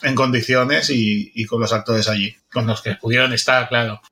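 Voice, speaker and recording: A man's voice: mid-range voice, steady tone, moderate pace, pushed and bright. A man with a Spanish accent from Spain casually recounts an event, explaining as he goes and often repeating himself. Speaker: podcast guest, event organizer.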